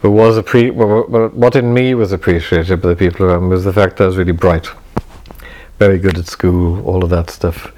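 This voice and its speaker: Halting, self-correcting man, mellow voice. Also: deep voice, steady tone, a little hiss or hum. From Wales. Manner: halting, many restarts and self-corrections, wry. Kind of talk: reflective personal talk.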